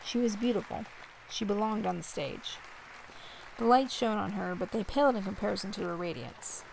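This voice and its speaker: A woman hosting a let's play, reading visual novel text aloud in a measured way with casual asides. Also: medium pitch, vocal fry, darkish tone, some chatter behind, slight background noise, poor audio. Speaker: host of a gaming video series.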